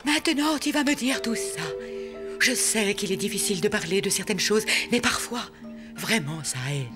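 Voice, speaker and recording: A woman voicing a calm, kindly animal psychologist in a children's cartoon, gentle and soothing. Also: medium pitch, normal speed, lightly acted. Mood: gentle, reassuring. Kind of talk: French-dubbed children's cartoon acting. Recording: faint music behind.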